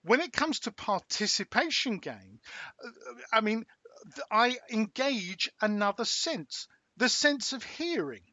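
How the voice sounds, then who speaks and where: High, bright-voiced older man. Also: big pitch swings, a little slow, dry recording. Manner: expansive, enthusiastic, many restarts. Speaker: podcast host. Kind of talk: casual interview chat. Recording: dry, slightly dull podcast audio.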